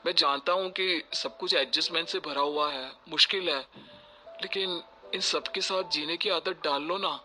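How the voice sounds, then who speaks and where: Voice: medium pitch, moderate pace, sharp tone, slight background noise. A performer voicing a mild, reasonable young village son and husband in a story cartoon, placating and hesitant. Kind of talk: Hindi moral story cartoon voice acting.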